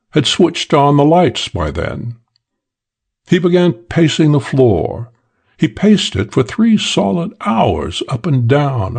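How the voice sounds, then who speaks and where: Guy doing english con man in an audiobook, gravelly and husky, drawls it out all cocky. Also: mid-range voice, a little mellow, exaggerated voice. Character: suave English con man, middle-aged man, cool and boastful. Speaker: character in an audiobook. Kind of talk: audiobook character voice.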